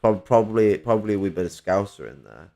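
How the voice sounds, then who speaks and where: This is a man with a Northern English accent and a fairly low voice, a little whiny in tone. He rambles through casual political chat, swearing freely and tripping over fillers and restarts as he goes. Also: fast talker, gravelly, mellow. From Northern England. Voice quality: slightly whiny.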